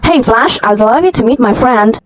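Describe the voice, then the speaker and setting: A woman voicing a playful, smooth-talking male animal character in an amateur cartoon dub. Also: a little high, animated, normal speed, lightly acted, low-quality recording. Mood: breezy. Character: breezy, teasing male friend of the sloth, cartoon animal.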